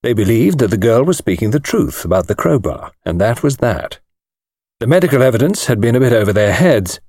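A man's voice: medium pitch, big pitch swings, a little fast, darkish tone, husky, dry recording. A man with a British accent reading a mystery novel as scripted narration. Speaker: audiobook narrator.

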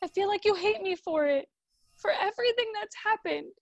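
Radio drama wife, played by a woman: very high voice, plaintive and reproachful, dry, slightly dull recording. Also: moderate pace, vocal fry, dry recording. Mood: wounded, pleading. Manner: emotional, accusing, plaintive. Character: hurt, reproachful young wife longing for a family.

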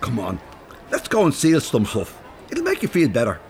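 An actor playing a blunt, teasing older Irish rogue in an audio drama, colloquial and cheeky. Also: male voice, medium pitch, wildly swooping pitch, moderate pace, a little mellow, slight background noise. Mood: coaxing.

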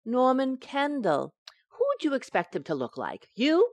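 An actress playing a feisty, playful housewife in a 1940s-style radio sitcom, with wry, teasing delivery. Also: female voice, high voice, huge pitch swings, moderate pace, no room sound, exaggerated voice. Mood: sarcastic, amused.

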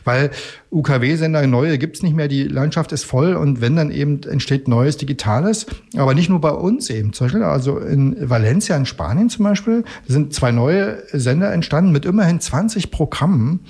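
Male studio pundit, raspy, somewhat high voice, chatty explainer with halting, self-correcting delivery. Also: lively intonation, a little mellow. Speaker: radio expert guest in a magazine segment. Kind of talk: conversational explaining.

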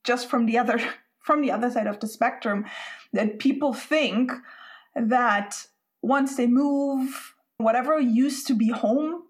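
A woman's voice: on the high side, a little slow. A woman from Spain, hosting a podcast interview and thinking aloud in a reflective way. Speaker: podcast host.

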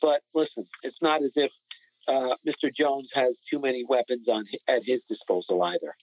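A man's voice: mid-range voice, fairly even intonation, moderate pace, dry recording. Man talking sports on a phone-like line. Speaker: sports podcast panelist. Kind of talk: casual sports discussion. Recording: phone-like narrow-band sound.

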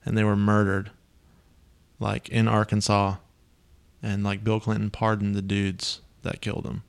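A man in casual, profane podcast banter, blunt and darkly joking, with a gravelly voice. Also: on the low side, monotone, measured pace.

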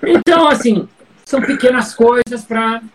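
A man's voice: very high-pitched, normal speed, background noise. A middle-aged man who coaches public speaking, talking casually in an interview and telling stories with lots of fillers and restarts. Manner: storytelling, many fillers and restarts, animated examples.

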